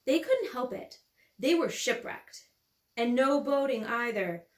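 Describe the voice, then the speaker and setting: Woman voicing a high, bossy little girl. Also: expressive, a little slow, roomy sound. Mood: defiant. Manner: earnest, insistent, playing grown-up. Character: young girl Ella, bossy, earnest, imaginative little sister.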